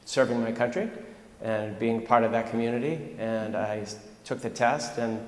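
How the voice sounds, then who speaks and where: Steady even-toned guy telling his service story, lots of room echo. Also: mid-range voice, moderate pace. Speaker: veteran. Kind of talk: recorded interview reflection.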